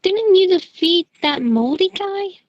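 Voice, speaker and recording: High-voiced, bossy schoolgirl witch played by a woman. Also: expressive, a little slow, no room sound, highs cut off. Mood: casual. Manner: insistent, bossy. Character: Jen, bossy, stubborn schoolgirl witch, fussing over her friend. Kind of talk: amateur audio drama acting.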